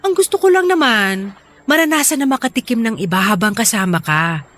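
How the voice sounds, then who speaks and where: A woman's voice: fairly high pitch, animated, fairly quick, fairly bright tone, faint music behind. An actress with a Filipino accent playing an insistent wife who pleads with and coaxes her reluctant husband in a radio drama. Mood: insistent, coaxing.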